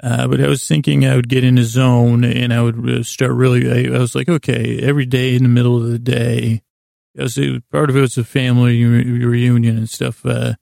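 A middle-aged American man hosting a sleep podcast, rambling in a gravelly voice with halting, self-deprecating detours that trail off and circle back. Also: mid-range voice, flat delivery, mellow, no echo, studio quality. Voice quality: gravelly, creaky. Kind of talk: rambling, meandering bedtime monologue.

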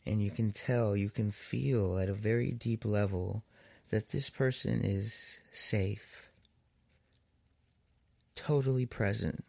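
A man leading a guided meditation as a therapist, in a soothing manner with long pauses between phrases. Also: on the low side, vocal fry, mellow, no room sound.

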